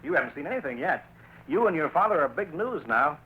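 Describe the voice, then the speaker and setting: An actor playing a brash, cocky American tabloid reporter with slangy, sneering delivery in 1940s radio style. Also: male voice, a little high, sing-song, quick pace. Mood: sneering defiance. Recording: muffled, noisy old radio recording.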